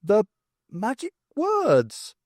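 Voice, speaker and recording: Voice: mid-range voice, huge pitch swings, very slow, darkish tone, no room sound, studio quality, pitched above the performer's own voice, exaggerated voice. A reader voicing a flustered, sardonic woman adventurer who is sarcastic and prone to exclamations. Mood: defiant.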